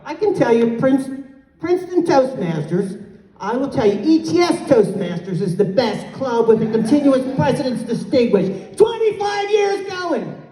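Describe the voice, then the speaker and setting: A middle-aged woman giving a lively motivational address at a conference, with a loud voice that she sometimes pushes to a yell. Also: fairly high pitch. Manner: loud, animated, moves the mic away to yell. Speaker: conference speaker. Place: large hotel conference hall. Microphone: handheld mic, filmed from far off. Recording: echoey hall, recorded from far off.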